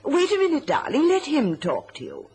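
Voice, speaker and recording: A woman's voice: sits high, wildly swooping pitch, normal speed, darkish tone, a little hiss or hum. A middle-aged woman playing a covetous, domineering mother who slips between imperious orders and silky endearments in a radio drama. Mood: gushing, silky. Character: domineering, scheming, covetous mother with a silky-sweet false warmth.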